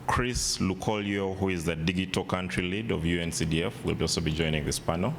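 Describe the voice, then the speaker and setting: A man emceeing an event, his voice very low and gravelly, with a halting delivery full of restarts. He speaks into a microphone in a big hall. A long reverb and a heavy rumble sit behind him. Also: fairly bright tone. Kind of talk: event announcements.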